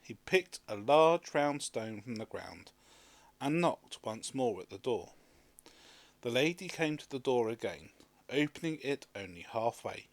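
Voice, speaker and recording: A man reading a children's story aloud as an expressive scripted narration. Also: mid-range voice, a little slow, no echo. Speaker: storybook reader.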